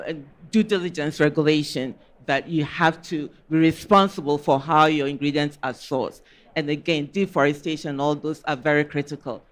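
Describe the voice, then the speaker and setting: A man with a Ghanaian accent, speaking earnestly as a panelist and explaining at length with small restarts. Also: a little high. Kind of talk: panel discussion.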